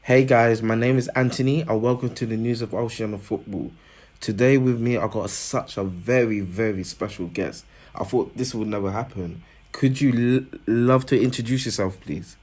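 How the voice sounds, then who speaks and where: Low-voiced male interview host. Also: moderate pace, a little mellow. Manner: earnest, repeats phrases, lifts pitch when introducing. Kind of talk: casual interview.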